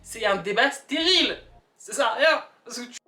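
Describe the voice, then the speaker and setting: A woman chatting casually and comically to camera, emphatic and animated, repeating words for effect. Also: on the high side, normal speed. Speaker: vlogger. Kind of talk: casual comedic opinion chat to camera. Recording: echoey room, distant mic, background music.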